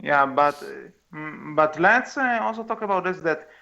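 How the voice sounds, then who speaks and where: A man's voice: animated, measured pace, vocal fry, bright, forceful tone. A man co-hosting a podcast in casual discussion, speaking in hesitant, stop-start phrases. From outside the United States. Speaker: podcast co-host. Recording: dull, low-fidelity mic.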